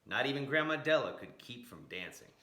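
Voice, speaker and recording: A man's voice: medium pitch, big pitch swings, a little fast, sharp tone. A man reading a picture book aloud in a dramatic, emphatic way, drawing out key words. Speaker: storyteller, blues educator. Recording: echoey room.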